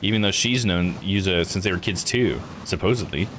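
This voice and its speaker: A man's voice: low-pitched, brisk, fairly bright tone, slight background noise. A man giving casual live game commentary, joking, slangy and thinking aloud. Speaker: video game streamer.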